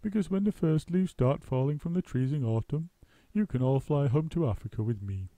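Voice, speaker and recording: A man voicing a kindly, knowing bird who talks calmly and reassuringly. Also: on the high side, fairly quick, no echo, pitched above the performer's own voice.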